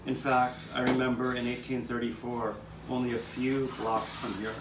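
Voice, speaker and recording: A man playing an earnest storyteller from the 1800s, recalling hard times for his neighbors in a mid-pitched voice. He performs live and sounds roomy and set back from the microphone, with clear noise behind him. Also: level tone, normal speed, lightly acted. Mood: earnest recollection. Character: 19th-century Philadelphia man recalling his neighbors' struggles. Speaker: narrator of a puppet show. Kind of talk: scripted first-person historical narration, storytelling. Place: outdoor courtyard, live performance. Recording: roomy, distant microphone, noticeable background noise.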